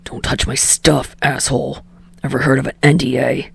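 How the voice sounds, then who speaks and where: A woman voicing a surly, foul-mouthed young male actor in a gruff, breathy mutter for a story reading. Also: rumbling low, flat delivery, moderate pace, no room sound, voice pushed down, heavily acted. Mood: irritated. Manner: muttering, spitting words out through clenched teeth.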